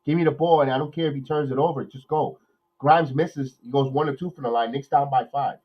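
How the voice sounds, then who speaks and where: A man, nasal from a cold, hosting a watch-along podcast, calling plays with excitement and then rambling through his opinions. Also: medium pitch, a little fast, husky, muffled recording. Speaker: podcast host doing live play-by-play. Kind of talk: watch-along game commentary and chat.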